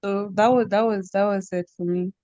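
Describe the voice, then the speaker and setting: Animated Nigerian woman telling school stories. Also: medium pitch, moderate pace, no echo, dull audio. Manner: animated storytelling, with frequent laughter and "do you get?". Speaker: podcast guest, former head girl. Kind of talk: casual conversational interview.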